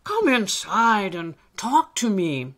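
A woman voicing a jolly, kindly old male elf in a children's story, with a hearty, drawn-out delivery. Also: medium pitch, very animated, a bit bright, heavily acted. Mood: delighted.

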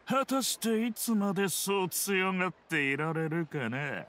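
An actor playing a blustering, threatening elderly swindler in a Japanese video game, rough-spoken and menacing. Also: male voice, high-pitched, lively intonation, unhurried, a bit bright.

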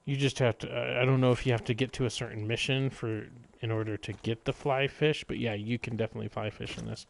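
A man's voice: mid-range voice, fairly even intonation, quick pace, vocal fry. A man doing casual live gameplay commentary in a playful, exclaiming way, often talking to himself. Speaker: game streamer.

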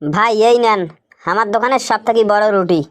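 A woman's voice: mid-range voice, brisk, dry recording, lightly acted. A woman with a Rangpur Bangladeshi accent voicing a sly, argumentative shopkeeper in a comic cartoon, talking like a mock salesman. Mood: sly salesmanship. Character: sly, vengeful shopkeeper with absurd offers.

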